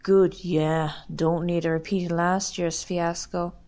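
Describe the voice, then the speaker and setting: A woman playing a brisk, pragmatic Irish parish meeting chair in a radio drama. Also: on the low side, little pitch movement, darkish tone, close to an everyday voice. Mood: brisk, placating. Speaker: actor in an amateur radio drama.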